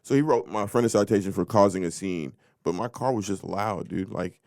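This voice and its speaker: Deep-voiced man telling stories casually. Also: a little fast, no echo, studio quality. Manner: animated storyteller, acts out quotes. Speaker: podcast co-host. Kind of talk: casual storytelling chat.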